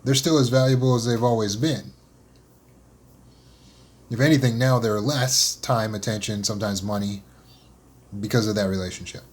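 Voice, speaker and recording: A man's voice: on the low side, steady tone, normal speed, long pauses. Earnest man talking about loneliness. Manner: earnest, repeats words as he thinks aloud. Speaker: motivational speaker on trauma. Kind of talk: solo talk, unscripted.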